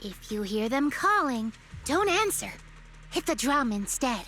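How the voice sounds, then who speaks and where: A woman's voice: sits high, sing-song, unhurried, a bit bright, soft background music, full character voice. A woman playing a curious, playful young woman in a video game, with a teacherly, theatrical delivery. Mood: playfully instructive.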